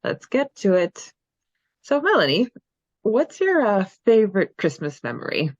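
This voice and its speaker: A woman's voice: mid-range voice, big pitch swings, measured pace, dry recording, lo-fi sound. A woman hosting a podcast in a chatty, giggly manner, moving between casual talk and recap. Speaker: podcast host. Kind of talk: casual chat and chapter recap.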